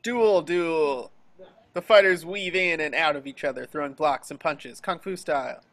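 A man's voice: fairly high pitch, normal speed, a bit bright. A man reading scripted action descriptions as narrator of a table read over a video call.

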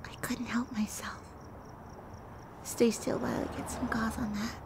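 A woman's voice: a little high, little pitch movement, in a whisper. A woman playing a flirty, teasing, confident vampire in an intimate audio roleplay spoken to the listener. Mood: hushed, intimate. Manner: playful, teasing, unhurried delivery with soft breathy asides.

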